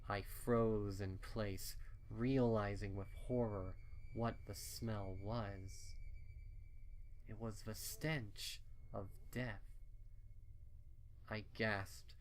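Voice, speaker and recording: A man reading a dark horror story in the first person, solemn and dramatic, as scripted narration. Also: on the low side, steady tone, extremely slow, pauses often, a little hiss or hum. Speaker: fan fiction reader.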